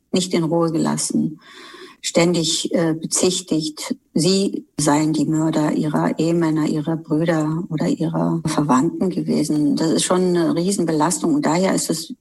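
A woman's voice: low voice, vocal fry, darkish tone, slightly rough, low-quality recording. A woman film director answering interview questions in a thoughtful, searching way, with some fillers as she goes. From Germany.

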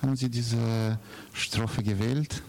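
A man conducting an unscripted interview, asking questions haltingly as he searches for words. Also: medium pitch, steady tone, darkish tone. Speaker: radio interviewer.

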